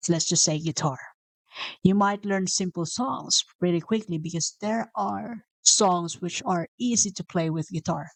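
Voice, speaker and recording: Woman, Filipino accent, Bisaya native, podcast host, earnest, self-correcting, lowish voice, dry close sound. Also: dry recording, low-quality recording. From Philippines. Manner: earnest, repeats herself, self-correcting asides. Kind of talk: motivational talk.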